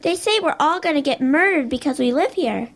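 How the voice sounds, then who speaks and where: A timid little schoolgirl, played by a woman in an audio drama. The voice is extremely high and moves up and down in a lively way. She sounds hesitant, plaintive and worried. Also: fairly quick. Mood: worried, hurt.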